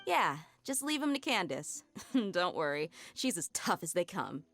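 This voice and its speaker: A young mercenary woman with a lowish voice in a video game. She is cocky, casual and quick to temper, with light music behind her. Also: sing-song, fairly bright tone, exaggerated voice. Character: brash, hot-tempered young female mercenary with swagger.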